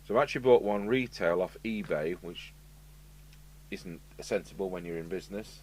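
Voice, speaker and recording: An English man with a fairly low voice chats through a hands-on demonstration. He is unscripted and rambling, often wandering into asides. A light hum and some noise sit behind him. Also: normal speed, poor audio. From United Kingdom. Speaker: video host and radio repairer. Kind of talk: casual unscripted talk to camera while demonstrating. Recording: steady hum and background noise.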